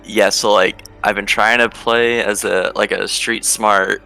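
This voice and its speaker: A man's voice: lowish voice, little pitch movement, measured pace, creaky, bright, forceful tone, soft background music. A man talking casually as a player in a tabletop role-playing game.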